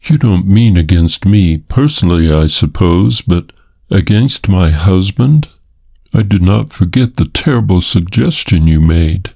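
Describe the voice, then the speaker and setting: A man's voice: deep voice, animated, a little slow, vocal fry, dark tone, breathy edge, voice pushed up, exaggerated voice. A man reading an audiobook, voicing a proper married woman who answers questions in a formal, indignant way. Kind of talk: audiobook character voice.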